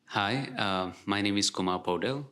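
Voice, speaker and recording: A young man with a Nepali accent, a conservation researcher explaining his work in halting, thoughtful sentences with restarts. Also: fairly low pitch, a bit bright, clean tone. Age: young adult. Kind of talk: casual conversation.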